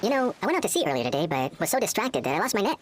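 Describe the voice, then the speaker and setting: Game role: distracted fisherman, swooping intonation, fast pace, faint noise. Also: high-pitched, sing-song. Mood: rueful. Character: plain-spoken, distracted male fisherman. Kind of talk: video game character acting.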